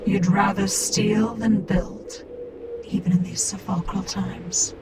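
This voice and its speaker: An actress playing a haughty, regal priestess of a machine cult who speaks in a smug, measured, condescending way over loud music. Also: female voice, medium pitch, steady tone.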